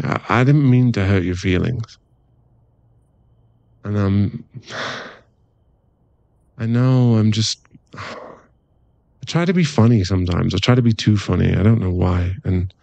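A man acting as an affectionate werewolf boyfriend in a close ASMR roleplay. The voice is low and gravelly, very mellow and relaxed, with soft, coaxing, halting phrases. It sits dry and close to the mic. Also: no room sound. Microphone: close microphone.

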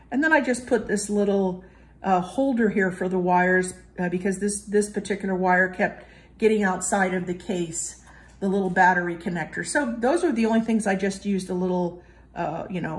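A woman giving a casual hands-on walkthrough as a hobbyist reviewer, explaining things hesitantly and often rephrasing. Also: medium pitch. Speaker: hobbyist reviewer presenting an electronics kit.

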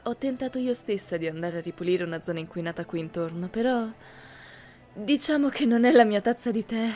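A woman playing a polite, haughty high-school girl from a wealthy family in an anime-style roleplay, speaking in formal, genteel phrases. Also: warm tone, lightly acted. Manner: formal, genteel, carefully worded. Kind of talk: scripted anime fan-dub roleplay. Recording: music behind the voice.

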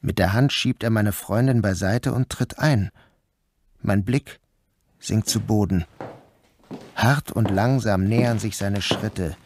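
An anxious, guilt-ridden man who narrates his own story in a radio play. His voice is on the low side, measured and deliberate, with a literary, dramatic delivery. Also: darkish tone. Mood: uneasy dread. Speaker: narrator and lead actor.

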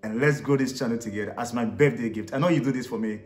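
A man's voice: medium pitch, fast talker, darkish tone, reverberant. A man hosting a street interview channel, upbeat and repeating his calls to like and subscribe. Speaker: YouTube host and street interviewer. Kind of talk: casual vlog intro and street interview questions. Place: small room indoors for intro.

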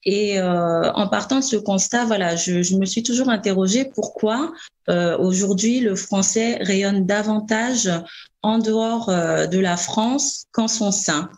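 A woman in her thirties from the Congo, introducing herself over a video call, earnest and halting with many fillers. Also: mid-range voice, darkish tone. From Republic of the Congo. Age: 30s. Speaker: youth ambassador. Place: bright room by a window. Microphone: laptop webcam on a video call.

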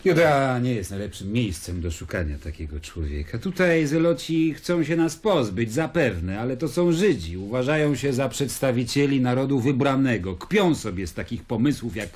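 Man playing Roman governor, thirties, radio play, husky mid-pitched voice, measured. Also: lively intonation, a little mellow, close to an everyday voice. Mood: measured, reflective. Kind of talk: radio drama acting.

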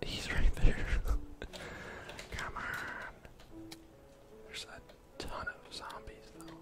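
A man's voice: takes it slowly, loud music behind the voice. Whispering young man, gaming ASMR. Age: young adult. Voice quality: breathy, unvoiced whisper. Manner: murmuring, talking to himself as he plays. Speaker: gaming streamer. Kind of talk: whispered ASMR game commentary. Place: indoor room at home.

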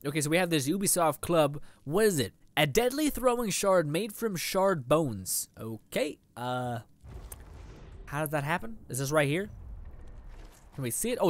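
An eighteen-year-old man giving rambling, casual let's play commentary, his voice a little raspy after eating. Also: a little high. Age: teens. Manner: rambling, chatty, lots of fillers. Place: indoor room.

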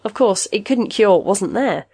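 A woman voicing a calm, polite, matter-of-fact man in an audiobook story. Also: mid-range voice, normal speed, slightly rough, pitched above the performer's own voice. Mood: calm, wry. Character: very calm short man, quietly unsettling, polite.

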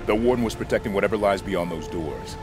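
An actor playing a stern, methodical squad leader who gives clipped, measured orders. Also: male voice, fairly low pitch, over music, natural voice. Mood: firm. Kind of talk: video game cutscene acting. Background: orchestral game score.

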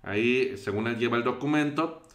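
A man's voice: mid-range voice, moderate pace, a bit bright, a little hiss or hum. A young man with a Mexican accent commenting on news clips from a small bedroom, hesitant with many fillers. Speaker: show host. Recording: roomy echo.

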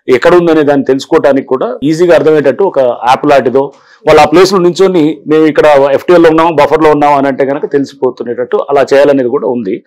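A man's voice: on the high side, darkish tone, low-quality recording. A man in his fifties, a government official, explaining his work in an office interview on a lapel mic. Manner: explanatory, mixes English phrases, frequent "see". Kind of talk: interview answers. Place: office, indoors.

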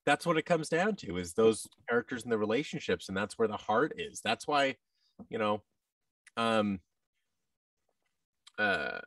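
Male, 30s, lower register, conversational guest, dry room, a little off-mic. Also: lively intonation, a little slow, long pauses, a bit bright, no echo, distant mic. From United States. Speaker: interview guest, actor and voice-over artist. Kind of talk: casual interview talk. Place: large indoor room with tables, on video call. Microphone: boom-arm microphone, headset.

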